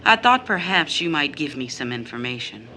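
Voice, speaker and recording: Weary poor mother, audio drama, numb, wary, blunt, bright tense voice, soft music behind. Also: deep voice, big pitch swings, moderate pace, exaggerated voice. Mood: weary, guarded. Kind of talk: scripted audio drama acting.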